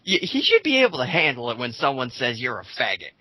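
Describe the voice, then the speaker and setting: Very bright-voiced young man, blunt and casual. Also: medium pitch, huge pitch swings, fast, slightly rough, no room sound, poor audio. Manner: blunt, sweary. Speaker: commentator reading out online comments. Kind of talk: casual rant with read-aloud comments.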